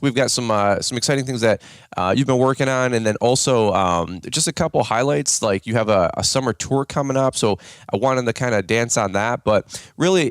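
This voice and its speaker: A man hosting a casual podcast, with a raspy morning voice, upbeat and talkative with lots of fillers and restarts. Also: medium pitch, no room sound, clean studio sound. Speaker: podcast host.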